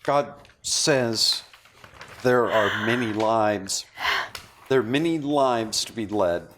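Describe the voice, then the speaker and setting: A man playing a solemn, philosophical character who speaks slowly and deliberately in a dramatic script read. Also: fairly low pitch, a bit bright, slight background noise. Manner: slow, measured. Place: indoor studio.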